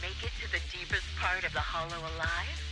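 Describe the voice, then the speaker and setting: A woman playing a vengeful, theatrical sniper villain in a video game, with mocking, sinister, drawn-out taunts. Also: fairly low pitch, music in the background, close to an everyday voice. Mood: menacing glee.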